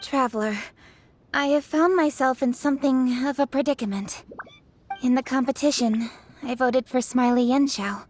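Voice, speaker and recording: A woman's voice: high-pitched, steady tone, moderate pace, a little mellow. A woman playing a serious, dutiful young noblewoman official in a video game, speaking formally and earnestly. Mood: troubled, formal. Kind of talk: dramatic video game voice acting.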